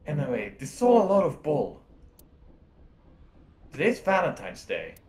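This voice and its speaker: Man voicing a brisk, animated AI hologram lady. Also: fairly high pitch. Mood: teasing. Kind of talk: amateur video game fandub.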